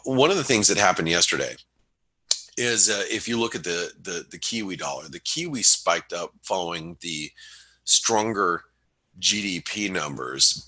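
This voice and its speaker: A man with a low, husky voice that is stuffed up and nasal from a cold. He talks through market levels in a loose, stop-start way, full of fillers and restarts. Under the congestion, his tone stays bright and forceful. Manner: halting, many restarts and fillers. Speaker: webinar host giving market analysis. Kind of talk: casual trading commentary.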